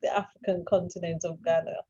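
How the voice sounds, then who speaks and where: A woman with Caribbean roots hosts the interview in a husky, mellow voice. She sounds effusive and encouraging as she draws her guest out. The sound is dull and lo-fi, as if from a low-grade recording. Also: little pitch movement, fast talker. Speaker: interview host. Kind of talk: hosted interview.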